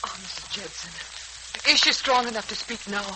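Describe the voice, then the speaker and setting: A woman's voice: mid-range voice, expressive, normal speed, natural voice. An actress playing a worried, genteel mother in a 1940s radio serial, dramatic and earnest. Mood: anxious, urgent. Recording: noisy, crackling old 1940s radio recording.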